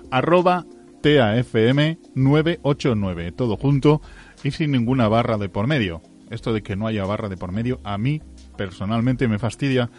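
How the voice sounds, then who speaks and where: A man with a Spanish accent from Spain hosting radio, making casual, ad-libbed announcements with chatty, joking asides. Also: mid-range voice. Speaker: radio host.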